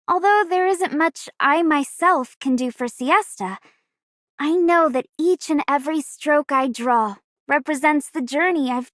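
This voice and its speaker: A woman's voice: sits high, no room sound, studio quality. An actress playing a modest, reflective young woman artist in a scripted game monologue.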